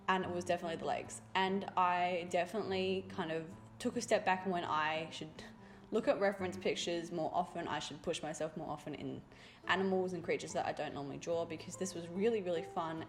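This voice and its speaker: A young woman art youtuber talking casually over her drawing, raspy from a cold and rambling with fillers. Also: lowish voice. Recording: voice-over with loud music behind.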